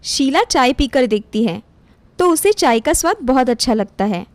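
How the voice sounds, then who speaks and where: High-pitched woman narrating a story. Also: lively intonation, moderate pace, dry recording. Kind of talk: scripted moral story narration.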